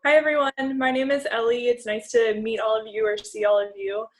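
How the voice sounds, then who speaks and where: Woman, twenties, from the United States, medical student, cheerful, fairly high. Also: fairly quick. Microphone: video call.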